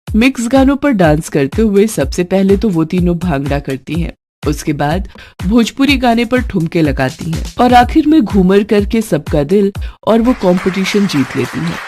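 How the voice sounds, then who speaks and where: A woman's voice: medium pitch, expressive, normal speed, dark tone, faint music behind, a faint crowd. A woman narrator reading a scripted domestic tale in a storytelling style.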